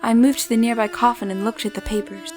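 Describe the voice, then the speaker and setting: An actress playing an earnest young woman of the 1870s in an audio drama, gentle and careful with formal wording. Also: a little high, steady tone, quick pace, over music, close to an everyday voice. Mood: measured narration. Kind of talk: scripted audio drama with first-person narration.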